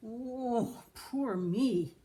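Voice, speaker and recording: A woman's voice: fairly high pitch, extremely slow, warm tone, pitched above the performer's own voice. Woman putting on a whining, lazy storybook Irishman. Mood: wailing self-pity. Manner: whining, dramatic. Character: lazy, whining, self-pitying Irish husband.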